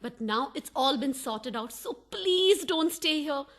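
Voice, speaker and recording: Unfaithful wife played by an actress, radio farce: high voice, anxious, pleading, dramatic. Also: female voice, expressive, a little slow, a bit bright, exaggerated voice. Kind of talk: farcical radio play acting.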